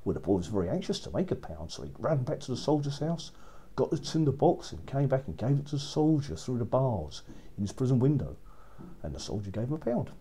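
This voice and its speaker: A man narrating a children's story as a storyteller, with expressive, dramatic delivery. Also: medium pitch, fairly quick, slightly rough.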